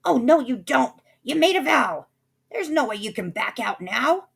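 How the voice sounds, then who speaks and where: A woman voicing a loud, grasping ugly stepsister in a storybook, with a screeching, shrill manner. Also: big pitch swings, unhurried, gravelly, slightly rough, heavily acted. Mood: gloating.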